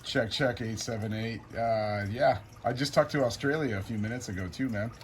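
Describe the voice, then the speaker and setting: A man's voice: medium pitch, normal speed. A man chatting casually as a CB radio operator, informal and laid-back. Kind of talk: casual radio contact chat. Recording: very noisy CB radio setting, static.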